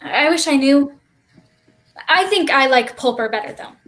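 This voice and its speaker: Woman playing a high-voiced, teasing child companion. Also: lively intonation, normal speed, fairly bright tone. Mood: puzzled. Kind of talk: staged reading of a play. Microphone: video call.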